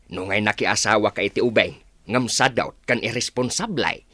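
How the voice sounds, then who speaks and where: A man's voice: lowish voice, moderate pace, pushed and bright, dry recording. A man with an Ilocano accent playing an exasperated old village father who scolds and laments in a radio drama. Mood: exasperated, scolding.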